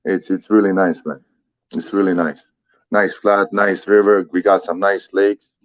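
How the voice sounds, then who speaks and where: Deep-voiced fighter in his thirties, foreign accent. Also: flat delivery, a little slow, dry recording, highs cut off. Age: 30s. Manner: laid-back, swears often, repeats himself. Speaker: MMA fighter, guest. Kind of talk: casual interview. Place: Ottawa.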